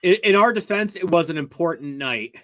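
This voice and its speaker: A man around forty joins in a casual panel conversation. His voice is notably high for a man, and he talks informally as a guest. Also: animated, fairly quick, a bit bright. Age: 40s. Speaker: podcast panelist. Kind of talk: casual chat.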